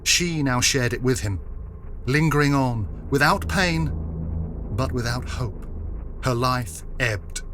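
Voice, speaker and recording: A man narrating a period story in a solemn, measured, theatrical style. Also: medium pitch, expressive, a bit bright, slight background noise.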